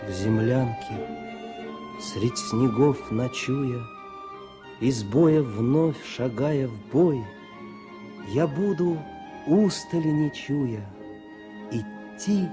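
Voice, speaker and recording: An actor playing a quiet, thoughtful war correspondent and poet in a Soviet radio play, restrained and lyrical. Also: male voice, medium pitch, lively intonation, extremely slow, pauses often. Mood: tender, lyrical. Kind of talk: Soviet radio play acting with verse recitation. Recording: music mixed under the voice. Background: music.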